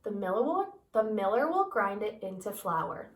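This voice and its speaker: Woman playing a busy mother, brisk, instructing, echoey. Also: mid-range voice, big pitch swings, recorded from a distance, natural voice. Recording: echoey room, voice off-mic.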